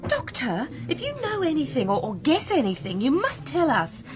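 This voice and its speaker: A woman's voice: high voice, wildly swooping pitch, normal speed, noisy background. An actress playing a spirited, outspoken young woman in a radio drama, with a British accent and an emphatic, frank manner. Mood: pleading. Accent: British English.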